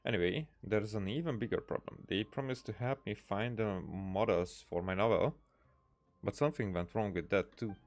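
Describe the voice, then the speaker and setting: A man's voice: fairly low pitch, moderate pace. A man doing casual let's-play commentary, reading game dialogue aloud in a hesitant way with many "uh"s and restarts.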